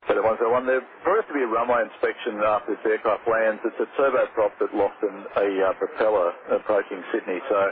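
A man's voice: lowish voice, steady tone, fast talker, few pauses. A man working as an air traffic controller, explaining and instructing in procedural terms over aviation radio. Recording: tinny band-limited radio, faint noise.

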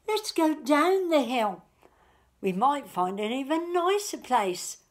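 A choosy but friendly goose from a picture book, performed by a woman. The voice is raised high and lilts in a sing-song way. It speaks emphatically and without hurry. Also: big pitch swings, takes it slowly, heavily acted.

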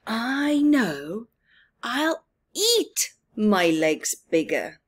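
A woman voicing a proud, eager, skinny-legged male bird from a storybook, with emphatic, drawn-out words. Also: on the high side, big pitch swings, husky, pitched above the performer's own voice, heavily acted. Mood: determined.

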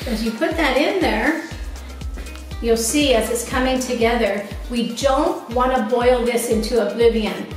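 A woman in her forties demonstrates a recipe at her kitchen counter, walking viewers through each step in a bright, chatty way. Her voice sits a little high. The kitchen rings with heavy echo, and she sounds a bit off the mic, with faint music underneath. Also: measured pace. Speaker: cooking show host. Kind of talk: cooking demonstration to camera. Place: home kitchen, indoors. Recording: very echoey kitchen, voice a bit distant.

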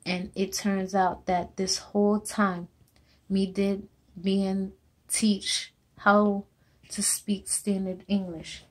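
Young Jamaican woman, slow halting reading. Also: mid-range voice, little pitch movement. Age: young adult. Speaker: student. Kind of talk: reading a personal essay to camera. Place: small indoor room at home. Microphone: webcam or laptop camera close up.

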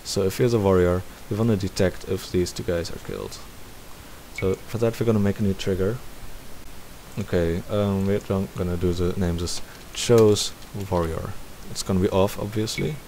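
A man's voice: sits low, normal speed, poor audio. A man giving a casual screen-recorded game tutorial, thinking aloud step by step. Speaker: tutorial presenter. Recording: steady hiss behind the voice.

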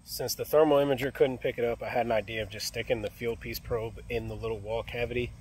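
A man's voice: mid-range voice, fairly even intonation, fast talker, darkish tone, husky, low-quality recording. Young man thinking aloud on the job. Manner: thinking aloud, many fillers and restarts. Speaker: HVAC technician. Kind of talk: casual work vlog narration. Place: outdoors by an AC unit and indoors in a closet. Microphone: phone camera held close.

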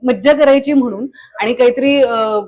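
A woman's voice: a little high, steady tone, moderate pace, darkish tone, a little hiss or hum. A young woman from coastal Konkan, earnestly explaining her work in a conversational interview. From Sindhudurg, Konkan, India. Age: young adult. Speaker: playwright and theatre artist being interviewed.

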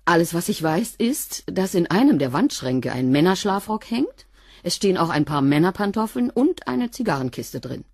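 Radio crime drama: a wry cleaning woman, low husky voice. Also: sing-song, moderate pace, a little mellow, no room sound, exaggerated voice. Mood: matter-of-fact. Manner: dry, matter-of-fact answers. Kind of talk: radio play acting.

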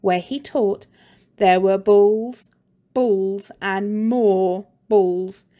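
A woman teacher reading a children's story aloud in a slow, expressive and playful way. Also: on the high side.

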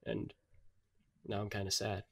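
A man's voice: lowish voice, completely flat delivery, unhurried, vocal fry. A young man co-hosting a podcast, chatting casually and debating playfully. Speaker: podcast co-host.

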